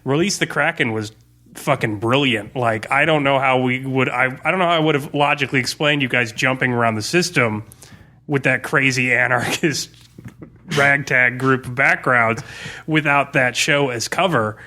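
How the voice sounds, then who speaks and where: A man's voice: medium pitch, moderate pace, pushed and bright. A man talking casually and reflectively as a game master and podcast co-host, often self-critical.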